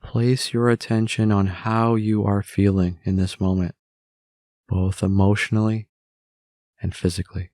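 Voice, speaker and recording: A man narrating a guided meditation in a measured way, with long pauses between phrases. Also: fairly low pitch, level tone, darkish tone, no room sound, clean studio sound.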